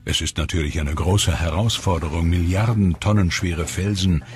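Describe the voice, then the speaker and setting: A man giving calm, measured, scripted narration for a science documentary. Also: sits low. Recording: music bed under voice.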